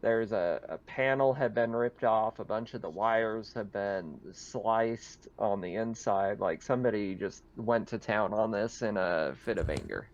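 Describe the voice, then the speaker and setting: A man narrating a tabletop roleplaying game as game master in a casual, halting way, piecing the scene together as he goes. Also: lowish voice, muffled recording.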